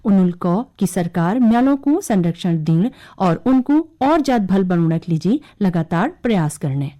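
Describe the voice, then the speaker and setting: A woman reads scripted regional radio news in a Kumaoni accent. Her voice sits at a medium pitch and has a soft, mellow tone. The intonation moves up and down in a lively way. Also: moderate pace.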